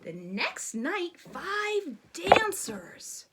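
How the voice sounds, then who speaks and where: A woman's voice: very high voice, big pitch swings, drawn-out words, noisy background. A woman reading a children's bedtime story expressively, with theatrical, rhythmic delivery of the rhymes. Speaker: storybook reader.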